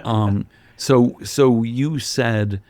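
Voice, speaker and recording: A man's voice: medium pitch, drawn-out words, darkish tone, dry recording. A man hosting a casual interview, asking curious questions and answering with short affirmations. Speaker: host.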